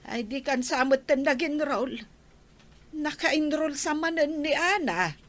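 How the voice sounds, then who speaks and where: High, teasing young Ilocano woman. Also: lively intonation, a bit bright, full character voice. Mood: needling. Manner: drawn-out, teasing, breaks into laughter. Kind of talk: Filipino radio drama acting.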